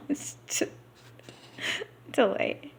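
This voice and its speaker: A woman reading a book aloud unrehearsed, halting here and there and slipping into casual asides. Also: fairly bright tone, a little hiss or hum.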